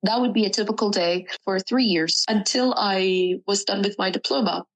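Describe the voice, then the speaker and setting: A woman's voice: medium pitch, normal speed, poor audio. Woman, from Sudan, native Arabic speaker, twenties, reflective, earnest, hesitant fillers. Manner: earnest, reflective, with frequent ums and self-corrections. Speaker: student interviewee. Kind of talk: personal interview.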